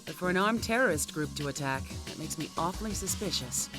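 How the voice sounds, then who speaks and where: A brisk, authoritative female cyborg officer in an anime game. She talks fast, sceptical and dry, over soft music. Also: sits low, animated. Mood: suspicious. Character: commanding, sceptical adult female cyborg squad leader. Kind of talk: English anime game dub acting.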